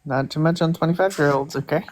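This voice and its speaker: A speaker in casual stream chat who makes dry, deadpan quips. Their delivery is relaxed and joking. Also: mid-range voice, fast talker, a little hiss or hum.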